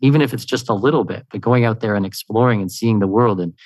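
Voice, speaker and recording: A man's voice: on the low side, quick pace, dry recording, studio quality. Upbeat man on a podcast. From Canada. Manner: energetic and upbeat, many "you know" fillers. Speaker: guest, expat consultant. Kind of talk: conversational interview answers.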